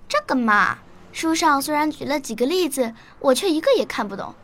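Young bookish girl for radio drama: very high, bright, curious. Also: expressive, fairly quick. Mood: curious, earnest. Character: young schoolgirl Lingling, curious, bookish, later grieving. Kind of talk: Chinese radio drama dialogue and narration.

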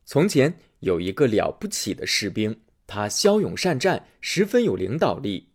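A man reading a fairy tale aloud as a storyteller, expressive and animated. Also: fairly low pitch, moderate pace, husky.

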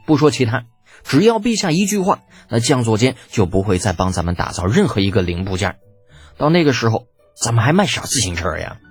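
A man's voice: mid-range voice, very animated, fairly quick, breathy edge, faint music behind. A man voicing a cocky, glib young schemer in an audiobook, with a smug, persuasive manner. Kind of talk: audiobook character acting.

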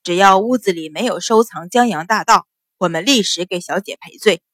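A woman's voice: mid-range voice, moderate pace, fairly bright tone, no echo, close to an everyday voice. Woman narrator as burly male captain, commanding, formal, firm, audiobook, dry close sound. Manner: authoritative, formal.

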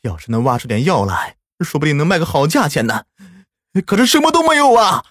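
A man's voice: on the high side, sing-song, a little fast, fairly bright tone, no echo, clean studio sound, voice pushed up. A man doing a frantic, wailing greedy villager.

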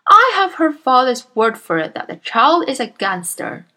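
A woman voicing a huge, bullying female headmistress who barks and shouts with scorn. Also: high voice, big pitch swings, normal speed, pitched above the performer's own voice, exaggerated voice. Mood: bellowing scorn.

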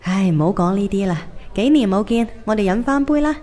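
A woman's voice: mid-range voice, expressive, fairly quick, warm tone, lightly acted. A woman playing a modest, weary finance professional in a Cantonese radio drama about old classmates. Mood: modest, resigned. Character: successful female finance worker, modest, weary former class monitor.

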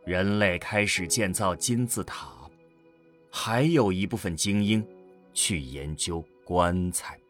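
Deep, expressive man narrating, unhurried, over music. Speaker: narrator of a sci-fi audio drama. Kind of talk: dramatic scripted narration, storytelling. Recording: background music under the voice.

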